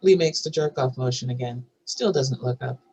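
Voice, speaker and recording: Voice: medium pitch, normal speed, a little mellow, husky. A narrator reading out stage directions and scene headings in a scripted table read.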